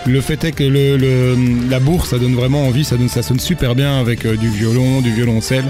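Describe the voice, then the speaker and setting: A man's voice: medium pitch, level tone, brisk. Male guest, casual and rambling, music bed under voice. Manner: rambling, laughing, many restarts. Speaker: festival organizer guest. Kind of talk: casual radio chat. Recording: radio studio with music underneath.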